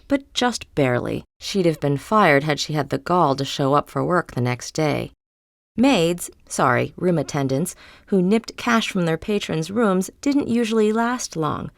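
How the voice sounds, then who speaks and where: A woman reading literary fiction as an audiobook narrator, in a measured, dramatic storytelling manner. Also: sits low, vocal fry, dry recording, clean studio sound.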